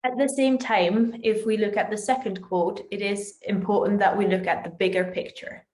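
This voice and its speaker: A woman's voice: mid-range voice, steady tone, moderate pace, a little mellow. A woman from the Netherlands, a native Dutch speaker with a Dutch accent, giving a prepared explanation in an online presentation as a company manager. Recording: roomy, echoing voice.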